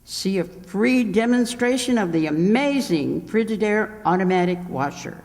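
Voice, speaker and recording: A man's voice: sits high, animated, moderate pace, a little mellow. A man playing an enthusiastic, wordy appliance salesman, emphatic as an advertisement, in an old-time radio comedy. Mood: enthusiastic pitching. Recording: echoey hall, long reverb.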